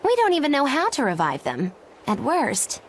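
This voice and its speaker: A woman's voice: sits high, wildly swooping pitch, normal speed, slight background noise. A woman playing a smug, flamboyant young witch in a fantasy video game, speaking dramatically. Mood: smug, knowing. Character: theatrical, smug, gifted young female witch. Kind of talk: animated fantasy game acting.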